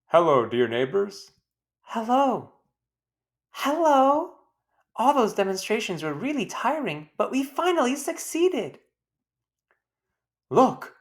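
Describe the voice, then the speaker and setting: A woman's voice: medium pitch, big pitch swings, slow, voice pushed up. A woman voicing chatty, effusive, self-important neighbours in a storybook. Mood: effusive, boastful.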